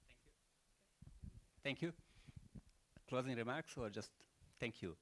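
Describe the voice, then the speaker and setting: A man's voice: medium pitch, animated, unhurried, fairly bright tone, a little hiss or hum. An older man with a Croatian accent giving rambling, unscripted closing remarks at a panel event, full of rhetorical questions and "you know".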